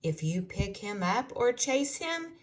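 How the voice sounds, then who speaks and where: A woman reading a children's storybook aloud in an expressive, carefully enunciated storytelling way for young listeners. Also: mid-range voice, takes it slowly.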